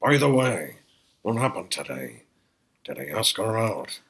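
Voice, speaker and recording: A man voicing an excitable, eccentric old scientist in a comic table read, raspy and theatrical, swinging from hushed to booming. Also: a little slow, exaggerated voice. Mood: earnest, explanatory.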